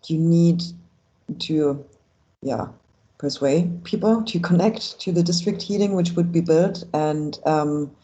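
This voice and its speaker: Mellow-voiced panel speaker, hedging. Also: mid-range voice, takes it slowly, dull audio. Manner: careful, hedging, many fillers. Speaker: panel expert on heat planning. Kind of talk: panel discussion.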